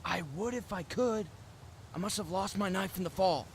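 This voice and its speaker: A man's voice: high-pitched, moderate pace, a little hiss or hum. A man playing a brash, grumbling bomber pilot captain in a radio drama. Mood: irritated, calling out.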